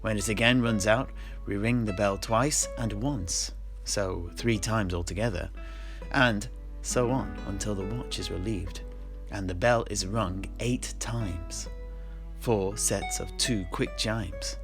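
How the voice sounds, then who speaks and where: A man playing a genial old-world seafarer who recounts his grandfather's voyages in measured storyteller's phrasing. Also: on the low side. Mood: measured, explanatory. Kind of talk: in-character fantasy storytelling. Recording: music playing under the voice.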